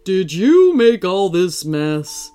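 Woman doing a gentle bearded giant man. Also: fairly low pitch, sing-song, soft background music. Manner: soft, gentle, slow and warm.

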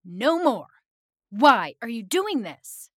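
A woman's voice: on the high side, very animated, slow pace, no echo, clean studio sound, voice pushed up, heavily acted. A woman voicing Opal's part as a young, defiant and loyal friend in a dramatic, emphatic story reading. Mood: angry, desperate.

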